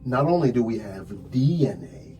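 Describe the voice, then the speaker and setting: A man playing a smug police detective in an ASMR crime roleplay, telling things dramatically with drawn-out, hushed phrases. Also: mid-range voice, sing-song, soft background music. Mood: smug, theatrical. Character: smug theatrical male police detective interrogating a suspect.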